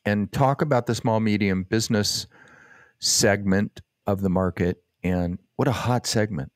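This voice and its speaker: A man's voice: lowish voice, measured pace, dry recording, studio quality. A man co-hosting a business podcast, chatting casually and joking with his co-host. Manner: friendly, joking.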